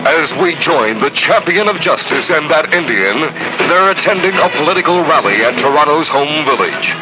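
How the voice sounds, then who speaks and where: A male announcer giving mock-heroic narration for a 1970s radio comedy serial in a booming, dramatic delivery. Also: animated, normal speed, low-quality recording. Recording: old radio broadcast taped off air, music behind.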